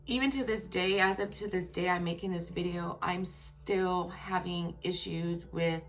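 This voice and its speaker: A woman vlogger tells her own story straight to her viewers, frank and emphatic, often pausing to check in with them. Her voice is gravelly and worn raspy from a long day. Soft music sits faintly underneath her. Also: fairly low pitch, fairly even intonation, poor audio. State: voice getting raspy after a long workday. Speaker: vlogger telling a personal story. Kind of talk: candid personal storytelling to viewers.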